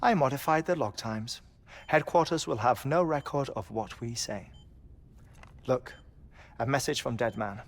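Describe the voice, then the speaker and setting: An actor playing a grave, thoughtful researcher in a video game, explaining in a measured way. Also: male voice, medium pitch. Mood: grave, confiding. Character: grave, thoughtful male researcher confiding his theories. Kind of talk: video game cutscene acting.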